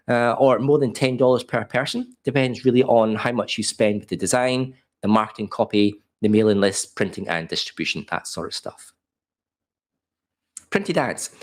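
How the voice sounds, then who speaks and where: An Australian man with a cold and a croaky voice, presenting a beginner webinar in a chatty way with many ums and uhs. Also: medium pitch. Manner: chatty, self-deprecating, frequent ums and uhs.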